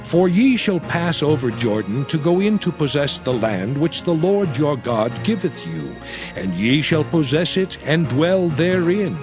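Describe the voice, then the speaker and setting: A solemn, commanding prophet preaching to his people in an audio Bible drama. His voice sits fairly high and swings widely in pitch as he declaims with emphasis. Music plays behind him. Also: sing-song, a little slow. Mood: solemn, declamatory. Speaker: Bible narrator. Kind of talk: dramatized scripture reading, sermon-like oratory.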